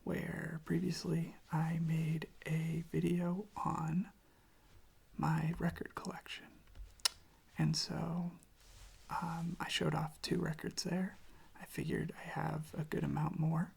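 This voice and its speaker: An ASMR creator speaking slowly in a soft, hushed whisper close to the microphone, gently repeating phrases. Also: medium pitch, monotone, gravelly, slightly rough. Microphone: close microphone propped on a desk.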